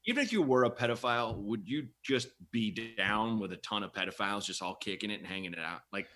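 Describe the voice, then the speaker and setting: A man's voice: lowish voice, lively intonation, fast, bright, forceful tone. A man hosting a comedy podcast, chatting casually and telling stories in a rambling, repetitive way. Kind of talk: casual comic banter and storytelling.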